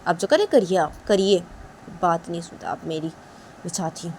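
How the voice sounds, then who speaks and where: A woman's voice: fairly low pitch, expressive, normal speed, darkish tone, slight background noise, close to an everyday voice. A woman voicing an angry young daughter-in-law who scolds and repeats her demands. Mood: huffy.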